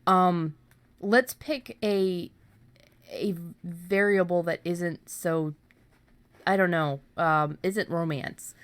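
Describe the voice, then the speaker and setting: A woman's voice: fairly low pitch, fairly even intonation, drawn-out words, clear, resonant tone, no echo. A woman hosting a podcast in casual chat, informal and given to acting out imagined remarks. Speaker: podcast host.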